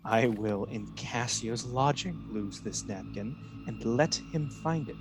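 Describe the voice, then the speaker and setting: A man playing a scheming, falsely honest soldier in a Shakespearean radio drama, speaking in a measured, insinuating manner. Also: medium pitch, music in the background, natural voice, rough recording. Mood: sly plotting. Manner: insinuating, measured, feigned reluctance.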